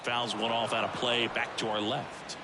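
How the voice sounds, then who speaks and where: A man's voice: medium pitch, flat delivery, normal speed, lightly acted. A man voicing a baseball play-by-play announcer in a video game, describing the action as it unfolds. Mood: engaged, descriptive. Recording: game broadcast under very loud noise.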